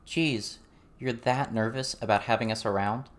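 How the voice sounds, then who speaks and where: A man's voice: mid-range voice, fairly even intonation, moderate pace, voice pushed up, natural voice. A man voicing a fierce young girl in a storybook, blunt, suspicious and challenging. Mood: exasperated.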